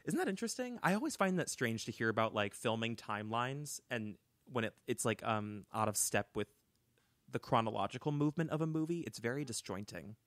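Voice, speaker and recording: A young man co-hosting a podcast, telling a story in a chatty, animated way with lots of "like" and restarts, on a close studio mic. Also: mid-range voice, brisk, dry recording. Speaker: podcast co-host. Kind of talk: casual storytelling chat.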